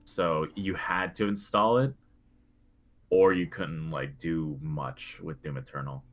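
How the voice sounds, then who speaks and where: A young man co-hosting a podcast, talking casually about video games in halting, hesitant phrases. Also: very deep voice, fairly even intonation. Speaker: podcast co-host.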